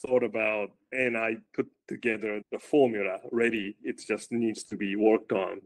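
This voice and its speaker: A man with a loud voice, a running coach answering interview questions and thinking aloud as he moves between points. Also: mid-range voice, low-quality recording.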